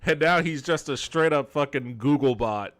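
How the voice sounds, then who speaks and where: A man's voice: a little high, normal speed, fairly bright tone, dry recording. A man doing casual gameplay commentary as a streamer, talking in an amused, incredulous, sweary way. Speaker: video game streamer and podcast host.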